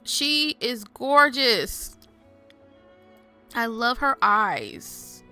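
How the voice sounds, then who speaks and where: Bright-voiced woman chatting over gameplay. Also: on the high side, very animated, drawn-out words, soft background music. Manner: chatty, informal, addresses viewers as y'all. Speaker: gaming video host. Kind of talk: casual gameplay commentary.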